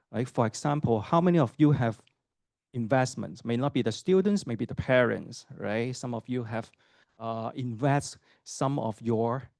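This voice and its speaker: A man's voice: medium pitch, darkish tone, dry recording, clean studio sound. A middle-aged man from Hong Kong, an associate professor giving a university admissions talk to students and parents. He speaks conversationally, puts questions to the audience and keeps adding fillers and "right?" tags.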